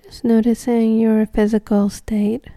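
A woman guides a meditation with a deeply mellow, relaxed voice. She speaks slowly and gently in short phrases, leaving long pauses between them. The sound is dry, with very little room around her. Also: no echo.

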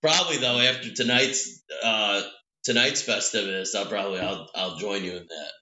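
A man's voice: on the low side, moderate pace, pushed and bright. A man co-hosting a podcast from his home patio room, rambling and joking in casual chat with frequent restarts. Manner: rambling, self-interrupting, playful. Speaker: podcast co-host. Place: three-season back patio room at home. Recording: echoey room, dull lo-fi sound.

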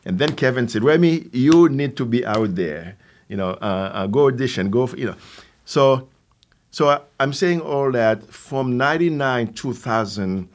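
A man in his sixties with a Haitian accent, answering interview questions conversationally in a halting way with repeated words and asides. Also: medium pitch, lively intonation. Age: 60s. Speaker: theater company founder, guest.

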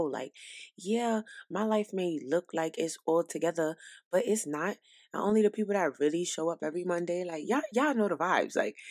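A woman's voice: low voice, a little mellow, no echo, studio quality. A young woman hosting her own podcast, talking casually and directly to her listeners in an informal, chatty way. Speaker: podcast host. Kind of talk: casual solo podcast monologue.

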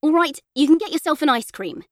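Exasperated mother for an audiobook, played by a woman. Higher voice, emphatic, bossy and scolding. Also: lively intonation, a little fast, no room sound, studio quality. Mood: firm, impatient. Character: annoyed, exasperated mother ordering her young son about.

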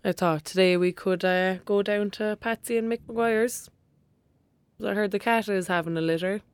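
A woman's voice: medium pitch, steady tone, moderate pace, clean tone, no room sound, lightly acted. Woman playing a cheeky young Irish country girl. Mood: wry. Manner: teasing, wry, colloquial. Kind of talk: audio drama acting.

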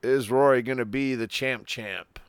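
Casual male podcast co-host, smooth and resonant, dry close mic. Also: medium pitch, fairly even intonation, normal speed, no echo. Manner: casual, swears, reads news items aloud. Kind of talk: casual chat reading news.

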